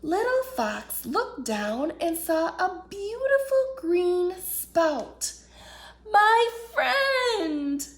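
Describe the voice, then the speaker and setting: Woman, children's storyteller, very high voice, unhurried pace, expressive picture-book read-aloud. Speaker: children's storyteller, children's ministry director.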